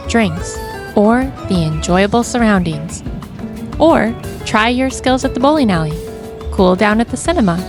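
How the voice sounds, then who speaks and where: A woman narrating a travel vlog in an upbeat, scripted tour-guide voice-over. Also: medium pitch. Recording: voice-over with music behind.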